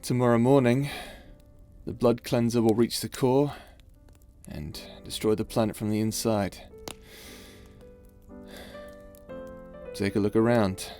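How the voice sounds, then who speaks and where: Guy acting a flirty sentimental space pirate, soft confiding asmr roleplay, music behind him. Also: fairly low pitch, natural voice. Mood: tender, solemn.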